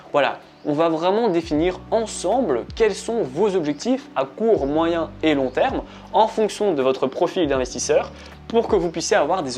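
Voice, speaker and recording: A man's voice: on the high side, a little mellow. A man speaking directly to viewers as a trading coach, giving a conversational promotional explainer with repetition and rhetorical questions. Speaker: trading coach and video presenter.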